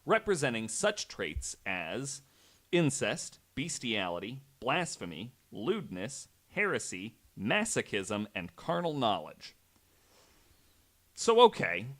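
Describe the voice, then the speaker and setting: A man's voice: mid-range voice, sing-song, slow, fairly bright tone. A man hosting a podcast, telling a true story in a conversational way with wry, incredulous asides. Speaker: podcast host.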